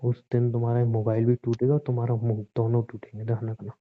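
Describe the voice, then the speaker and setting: A man's voice: mid-range voice, monotone, fast, lightly acted. A man playing a bitter, threatening husband in a domestic argument drama, taunting and domineering as he speaks. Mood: threatening, goading. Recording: thin, muffled phone-call recording.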